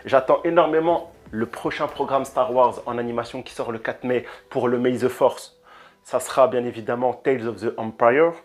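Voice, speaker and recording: A man giving a casual, animated opinion rant as a video reviewer, hesitating and repeating words often, speaking into a handheld microphone. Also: mid-range voice, normal speed. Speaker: YouTube reviewer.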